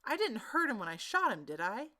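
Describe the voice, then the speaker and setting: A woman's voice: a little high, big pitch swings, fairly quick, pushed and bright, pitched above the performer's own voice. A woman voicing a small, innocent boy in a storybook, curious, caring and eager with his questions.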